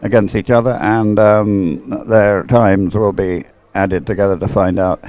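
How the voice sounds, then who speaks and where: A man's voice: fairly low pitch, steady tone, a little slow, darkish tone, no echo. A man giving live race commentary in a rambling, hesitant way, as if thinking aloud.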